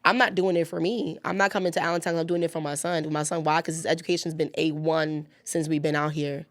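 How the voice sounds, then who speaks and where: A woman's voice: sits low, quick pace, no room sound. Emphatic woman in her thirties, dry close sound. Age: 30s. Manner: animated, emphatic, slangy storytelling. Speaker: guest. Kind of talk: casual podcast conversation.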